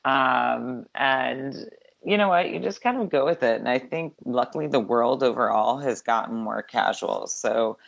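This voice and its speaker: A deep-voiced woman, a guest in a casual interview, talking quickly and chattily with lots of filler words. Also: creaky. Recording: dull lo-fi sound.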